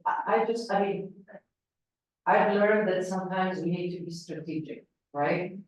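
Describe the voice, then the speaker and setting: A woman with a Palestinian accent answering as a panel speaker, thoughtful and measured, with pauses and fillers. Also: fairly low pitch. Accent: Palestinian Arabic, Syrian-leaning. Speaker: panel speaker, political analyst. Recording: roomy hall, voice from far off.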